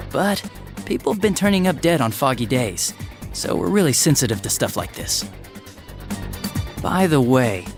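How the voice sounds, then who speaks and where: A man voicing a chatty, bumbling young police detective in a video game dub, gossipy, informal and quick to apologize. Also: medium pitch, lightly acted. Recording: background music under the voice.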